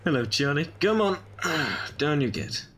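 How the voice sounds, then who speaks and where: A man playing an ancient, quick-witted time traveller in an amateur audio drama. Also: fairly high pitch, sing-song, background noise. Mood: brisk, wry.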